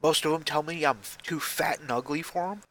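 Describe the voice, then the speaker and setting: A man in his forties talks casually into a headset microphone in his basement, his voice bright and forward. He rambles and restarts often, running himself down with a wry, weary honesty. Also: on the high side, fairly quick. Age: 40s. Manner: rambling, self-deprecating, frequent restarts. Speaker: vlogger. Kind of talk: casual self-deprecating vlog talk. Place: basement room at home.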